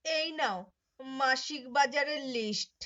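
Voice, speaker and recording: A woman voices a thrifty, exasperated mother-in-law in a puppet tale. The voice is high and forceful, with slow, scolding delivery. The sound is slightly muffled and lo-fi. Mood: bossy. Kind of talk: puppet show moral story acting. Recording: dull lo-fi audio.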